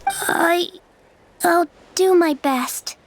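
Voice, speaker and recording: A woman voicing a timid young girl in a video game, speaking in a hesitant, halting way. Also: very high-pitched, heavily acted. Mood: shy, grateful. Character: frightened young girl who lost her memories, timid and uncertain.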